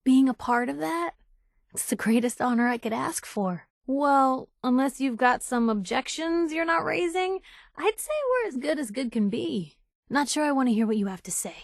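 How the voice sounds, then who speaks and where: Game role: plucky wasteland newswoman, young, highish voice, wry and teasing with a good heart, dry studio sound. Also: expressive, moderate pace, creaky, dry recording. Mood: upbeat, teasing, then wary, impatient. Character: plucky young woman reporter, sassy, warm-hearted, in a post-apocalyptic wasteland. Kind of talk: video game voice acting, conversational dialogue lines.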